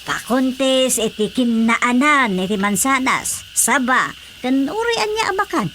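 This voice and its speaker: An eager older village wife with an Ilocano accent in a radio comedy drama. Her voice is on the high side and she stretches out her exclamations. There is faint noise in the background. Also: expressive, measured pace, bright, forceful tone, heavily acted.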